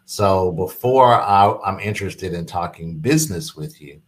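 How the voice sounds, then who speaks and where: A man who is a business executive guest in an interview, explaining steadily with many fillers and quick affirmations. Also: sits low, expressive, slight background noise. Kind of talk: casual interview chat.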